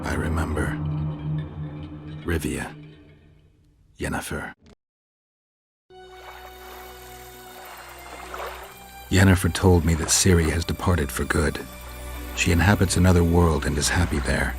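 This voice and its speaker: A very deep-voiced, world-weary monster hunter narrates his memories for a game cutscene. He is grim and brooding, speaking in terse, deliberate phrases. Loud music plays beneath his voice. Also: steady tone. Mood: somber, subdued. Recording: studio voice under loud music. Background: loud dramatic score.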